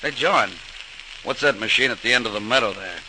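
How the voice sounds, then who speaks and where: A man's voice: medium pitch, fairly quick, a little hiss or hum, lightly acted, low-quality recording. An actor playing a plain-spoken, helpful male workman in an old-time radio drama, straightforward and emphatic, on an old recording. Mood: curious, willing. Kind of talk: 1940s radio drama acting.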